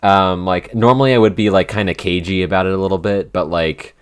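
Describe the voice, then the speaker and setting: A man with an extremely low voice talks as a podcast co-host and writer. His delivery is informal and conversational, looping back over phrases and peppering them with "like" as he works through an answer. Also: fairly even intonation, a little fast, smooth. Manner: informal, circles back with frequent "like". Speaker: podcast writer and co-host reading listener questions. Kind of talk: casual Q&A chat.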